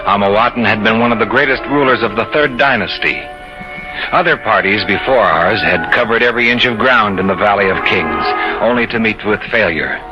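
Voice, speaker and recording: A man's voice: lowish voice, brisk, lightly acted. A man acting an eager, impatient young archaeologist who narrates his expedition in an old-time radio drama. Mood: measured narration. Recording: dull old radio recording with music behind.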